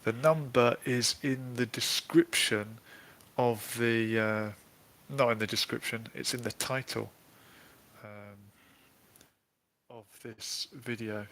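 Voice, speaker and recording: A man's voice: mid-range voice, steady tone, takes it slowly, a bit bright, a little hiss or hum. A man hosting a casual live stream over a video call, with jokey, wry asides. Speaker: host.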